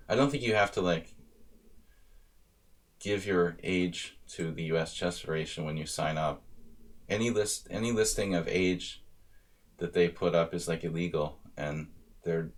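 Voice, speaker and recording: A man in his thirties casually commenting on his chess games on a live stream, joking with chat as he thinks aloud about moves. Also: low-pitched. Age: 30s.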